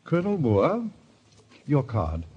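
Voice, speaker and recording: An actor playing the polished, coolly menacing president of a deadly card club, formal and courteous, in a golden age radio drama. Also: male voice, medium pitch, wildly swooping pitch, slow pace, slightly rough, heavily acted. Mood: formal, expectant. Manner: formal, courteous, commanding delivery. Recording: dull old radio recording.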